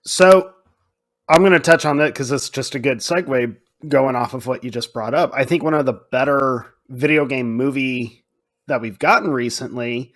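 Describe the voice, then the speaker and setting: A man's voice: mid-range voice, normal speed, a bit bright. A man co-hosting a podcast, chatting casually with strong opinions in colloquial, emphatic language. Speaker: podcast co-host.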